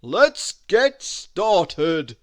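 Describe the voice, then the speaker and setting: Man putting on a big booming jolly old Santa. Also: very animated, no room sound, exaggerated voice. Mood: hearty. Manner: loud, drawn-out, emphatic delivery. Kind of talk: children's storybook read-aloud.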